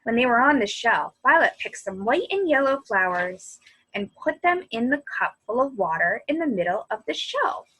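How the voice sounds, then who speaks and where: A woman reading a children's story aloud as a library storyteller, in an expressive, animated way. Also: fairly high pitch, breathy edge, low-quality recording. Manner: animated, pausing to comment on the story.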